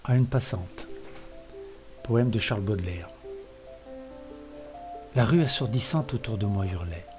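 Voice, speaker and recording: A man gives a dramatic, literary poetry reading, declaiming phrase by phrase with expressive emphasis. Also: on the low side, a little slow. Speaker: poetry reader. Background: music behind the voice.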